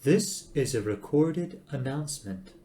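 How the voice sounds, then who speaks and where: A man acts out an ancient recorded announcer in a sci-fi storybook. The voice is old, hollow and reedy, speaking slowly with courteous formality and quiet menace. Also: fairly high pitch, animated, a little hiss or hum. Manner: slow, formal, coldly polite.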